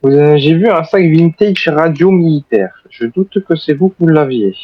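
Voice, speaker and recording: A man role-playing a courteous zombie survivor in a game, with formal phrasing and hesitant pauses. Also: a little high, lively intonation, dry recording, dull audio. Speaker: video game role-player. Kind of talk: improvised in-character game chat.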